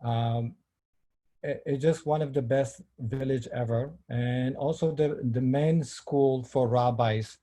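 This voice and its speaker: A middle-aged man from Ethiopia speaking halting with many fillers, giving a personal talk on a video call. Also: medium pitch, fairly even intonation, mellow. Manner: halting non-native English with many fillers. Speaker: filmmaker and panel speaker.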